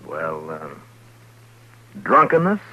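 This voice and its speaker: An actor playing a calm, tough American frontier marshal with a dry, laconic, sardonic delivery in an old radio western. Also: male voice, extremely low pitch, slow, exaggerated voice. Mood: wry, needling. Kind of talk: 1950s radio western acting. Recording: muffled, noisy old radio recording.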